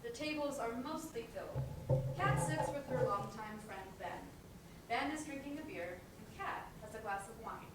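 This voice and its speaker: A woman's voice: a little high, animated, moderate pace, pauses often. A woman narrator reading stage directions for a play in scripted narration. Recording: very echoey, distant, loud room noise.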